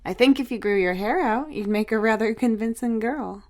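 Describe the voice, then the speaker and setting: A breezy, bossy young sister played by a woman narrator for an audiobook, lifted high above her normal reading voice. She teases and coaxes in an exclamatory way, but she cares underneath. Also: normal speed, a little mellow, natural voice. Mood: playful teasing. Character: teasing, bossy but caring older sister, young beautician. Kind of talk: audiobook character dialogue.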